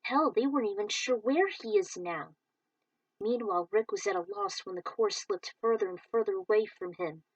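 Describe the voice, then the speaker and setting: A woman reading her own fan story aloud in an expressive way, recorded dry and a little far from the mic. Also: mid-range voice, moderate pace, husky, no echo, dull audio. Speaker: fan fiction reader.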